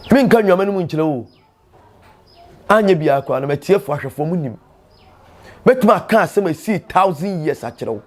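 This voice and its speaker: Male storyteller-host, Ghanaian accent, high voice, impassioned teaching delivery with emphatic repetition. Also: darkish tone. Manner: emphatic, proverb-laden, repeats phrases for emphasis.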